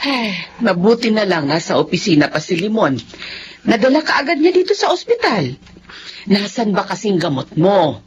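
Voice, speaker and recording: An actress playing a caring, scolding middle-aged older sister in a Filipino radio drama, expressive and fussing. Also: female voice, medium pitch, normal speed, dull audio, background noise, close to an everyday voice.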